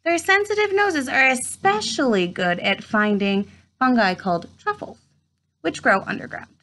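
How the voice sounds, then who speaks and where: A woman in her thirties reading a children's nature book aloud in an expressive storytime manner, recorded at home. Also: high voice, moderate pace, a little hiss or hum. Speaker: read-aloud host. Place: small room at home, indoors.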